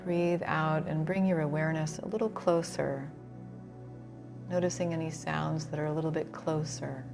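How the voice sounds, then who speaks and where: A woman leading a guided relaxation as a yoga instructor, soothing and unhurried, with pauses between phrases. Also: deep voice, fairly even intonation, vocal fry, music in the background.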